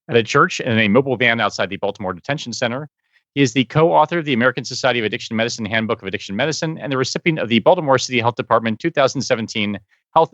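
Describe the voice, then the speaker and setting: A man co-hosting a podcast, reading a guest's introduction in a brisk, upbeat way. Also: medium pitch, a bit bright, no echo. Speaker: podcast co-host. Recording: slightly dull audio.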